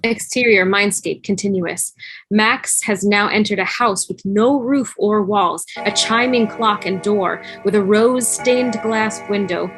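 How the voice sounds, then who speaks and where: Casting: female narrator for screenplay stage directions, mid-pitched voice, faint music underneath, video-call recording. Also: a little slow, a bit bright, husky, rough recording. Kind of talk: scripted narration of a fan table read.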